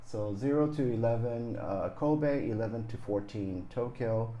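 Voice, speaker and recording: A man in his sixties from Japan, talking as an interview guest, halting with fillers and restarts and laughing easily. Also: medium pitch, warm tone, roomy sound, dull audio. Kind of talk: casual interview chat.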